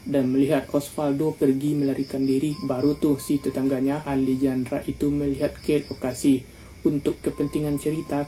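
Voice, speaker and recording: A young man from Indonesia tells a true crime story in a very dark, flat voice, slangy and talking straight to his viewers. Faint music plays under his narration. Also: mid-range voice, low-quality recording. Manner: slangy, addresses viewers directly.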